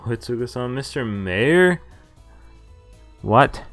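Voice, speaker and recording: A man's voice: mid-range voice, expressive, slow, fairly bright tone, faint music behind. A man streaming a visual novel, casually reading game text aloud and adding informal, jokey commentary. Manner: informal, jokey asides between read lines.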